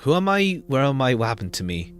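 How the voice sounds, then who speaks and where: A man's voice: medium pitch, big pitch swings, brisk, faint music behind. A man streaming a visual novel, reading the game text aloud and adding casual commentary.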